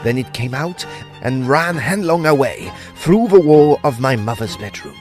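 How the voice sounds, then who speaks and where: A man's voice: medium pitch, expressive, unhurried, faint music behind, natural voice. An actor playing a grave, intrepid ghost hunter, narrating his own ghost story in a theatrical, suspenseful way. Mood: awed, tense. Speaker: actor narrating an audio drama. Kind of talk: dramatic first-person ghost story narration.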